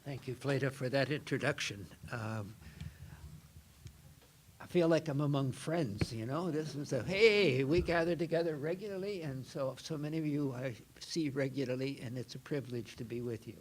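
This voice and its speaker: An older man, a Jesuit priest, giving an informal lecture in a soft voice, chatty and self-deprecating, often restarting his sentences. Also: medium pitch, normal speed, a little hiss or hum. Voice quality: soft-spoken. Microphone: microphone.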